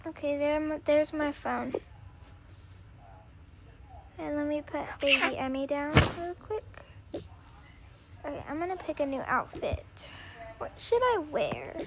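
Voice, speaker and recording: A woman with a very high voice improvises a pretend-play story. She speaks slowly, talking through small actions as she goes. There is faint noise with some clicking behind her. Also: lively intonation. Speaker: creator voicing all characters of a toy-app role-play story.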